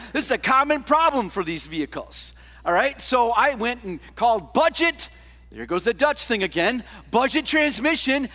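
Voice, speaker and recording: A man preaching a sermon as a pastor, conversational and emphatic, tossing out rhetorical questions and swinging between raised and hushed delivery. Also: extremely high pitch. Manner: conversational, with rhetorical questions and tags like "all right?". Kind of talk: sermon to a congregation.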